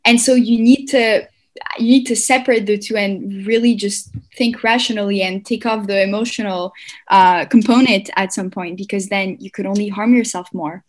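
A young woman from France talks over her past in a relaxed interview. Her voice sits in a middle pitch, and her speech loops back on itself with restarts as she reflects. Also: normal speed. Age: 20s. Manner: reflective, rambling with frequent restarts and soft laughs. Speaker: guest. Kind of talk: casual conversational interview.

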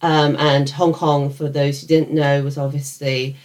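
A woman's voice: sits low, flat delivery, measured pace, darkish tone. Young woman, British accent, podcast co-host, casual conversational style. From England. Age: young adult.

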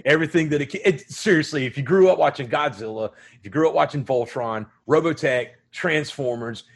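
A man hosting a casual movie chat, excitable and quick to laugh. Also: on the high side, slightly rough. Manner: excitable, laughs often, bursts into shouting. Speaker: podcast host.

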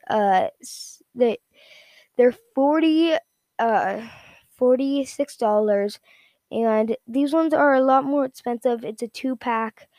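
A woman giving a casual, unscripted product rundown in a rambling, repetitive, halting way. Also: a little high, mellow, no room sound. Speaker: product reviewer.

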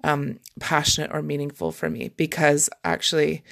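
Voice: mid-range voice, fairly even intonation, normal speed, creaky, a bit bright. A life coach talking informally and encouragingly on a solo podcast, repeating key words for emphasis.

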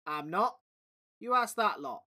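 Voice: medium pitch, expressive, measured pace, bright, forceful tone, heavily acted. A podcast host voicing a brash, streetwise male pigeon in a read-aloud story. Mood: cocky. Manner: brash, dismissive, chatty. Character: cheeky streetwise male pigeon, British slang. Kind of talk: storybook reading.

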